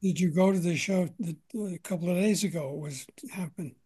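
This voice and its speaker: Hesitant husky interviewer, dark tone. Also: male voice, sits high. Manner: halting, repeats words while asking questions. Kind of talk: informal interview questions.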